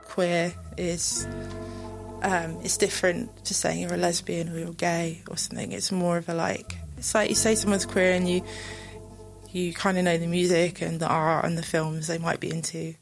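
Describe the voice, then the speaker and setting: Giggly young woman, lower voice. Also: level tone, normal speed, creaky, over music. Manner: chatty, giggly, lots of likes. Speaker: club night co-founder, interviewee. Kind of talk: casual chat.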